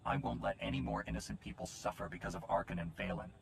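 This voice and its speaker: An actor playing a confident, pragmatic male hero with a dry, gravelly voice. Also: on the low side, very animated, fast, full character voice. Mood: calm. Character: self-assured male hero, lucky and pragmatic. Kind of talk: video game cutscene acting. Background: game music.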